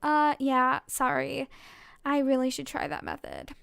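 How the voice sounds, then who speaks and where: Lovestruck teenage boy in a storybook romance, read by a woman: earnest, awkward, high-pitched, dry sound. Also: little pitch movement, a little slow, gravelly, a bit bright, dry recording, exaggerated voice. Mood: flustered.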